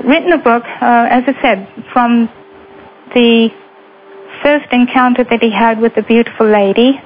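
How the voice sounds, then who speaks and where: A woman with a faded Lancashire accent, hosting a radio talk show and rambling warmly to fill dead air. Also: a little high. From Lancashire, England. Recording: dull lo-fi radio audio with faint hiss.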